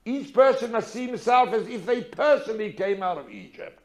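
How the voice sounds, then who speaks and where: Older man, high voice, storytelling lecturer, dramatic emphasis, rhetorical questions. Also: normal speed. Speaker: speaker telling a personal story.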